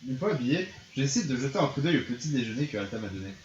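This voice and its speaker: A man's voice: medium pitch, big pitch swings, racing. A man narrates a visual novel aloud in a casual, slangy manner, acting it out as he goes. The sound is muffled and echoey, with slight noise behind him. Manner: informal, slangy. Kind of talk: dramatized narration, casual and improvised.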